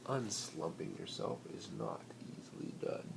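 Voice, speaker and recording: A man reading a children's picture book aloud in an expressive, playful, rhythmic way with dramatic pauses. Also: sits low, creaky. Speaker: bedtime story reader. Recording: noisy amateur home recording.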